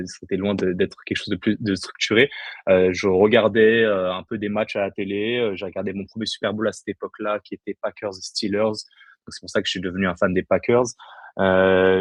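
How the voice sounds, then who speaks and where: Low-voiced young man in casual conversation. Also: no room sound. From Paris region, France. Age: 20s. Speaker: interview guest. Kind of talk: casual chat.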